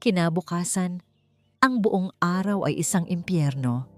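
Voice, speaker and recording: A woman's voice: on the low side, unhurried, a little mellow. A woman narrating an audiobook in a dramatic, scripted storytelling style. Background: background music.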